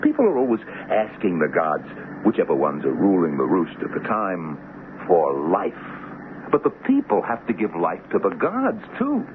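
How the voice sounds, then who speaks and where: An actor playing the Devil as a smooth, boastful, self-pitying hustler, theatrical and insistent, in a 1970s radio drama. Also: male voice, low-pitched, wildly swooping pitch, normal speed. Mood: wistful, self-pitying. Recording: noisy, narrow-band old radio recording.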